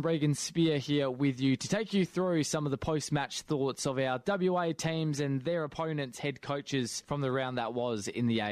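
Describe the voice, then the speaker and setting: Sports radio presenter, higher pitch, dry studio sound, polished links. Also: male voice, no echo. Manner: smooth, scripted link delivery.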